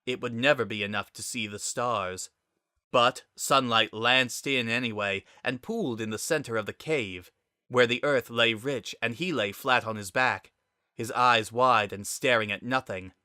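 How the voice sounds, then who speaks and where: A man's voice: mid-range voice, unhurried, sharp tone, clear, resonant tone, no room sound, clean studio sound. A man reading a scripted story aloud as a dramatic narrator.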